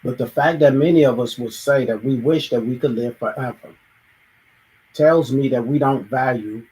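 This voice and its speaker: A man's voice: mid-range voice, normal speed, darkish tone, low-quality recording. Reflective man musing in a monologue. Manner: thoughtful, acts out everyday dialogues, sometimes restarts. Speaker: show host.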